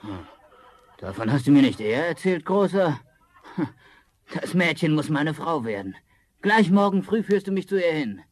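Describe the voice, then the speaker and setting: A man's voice: on the high side, very animated, moderate pace. An actor playing a cold-hearted, greedy rich young man in a German fairy-tale radio play, with theatrical delivery. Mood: dismissive, imperious.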